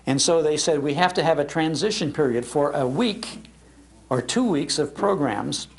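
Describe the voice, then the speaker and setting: A man's voice: fairly high pitch, lively intonation, moderate pace, creaky. An older American man giving a nostalgic, enthusiastic storytelling talk about the past. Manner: enthusiastic, acts out quoted lines. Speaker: speaker on old-time radio history. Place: public library talk.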